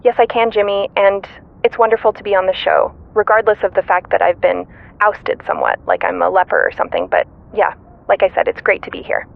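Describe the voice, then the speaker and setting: A slighted female co-host in a radio drama, played by a woman, phoning in on a thin, tinny line. Her voice has some fry in it, and she sounds defensive, hesitant and mildly indignant. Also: mid-range voice, steady tone, a little fast. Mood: politely wounded. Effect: phone line. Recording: tinny narrow phone line.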